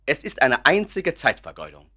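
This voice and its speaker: A man's voice: mid-range voice, huge pitch swings, normal speed, pushed and bright, full character voice. A man playing an earnest, principled doctor in a German radio play, insistent and reasoning in his talk. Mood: earnest, emphatic. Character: earnest, principled male doctor, sceptical of illusions.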